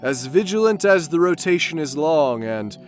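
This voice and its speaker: A man playing a pompous, bumbling gentleman adventurer in a comedic sci-fi audio drama, with a florid, theatrical way of talking. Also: on the high side, very animated, moderate pace, soft background music. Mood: self-assured. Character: pompous, lovesick self-proclaimed gentleman space adventurer, bumbling and boastful.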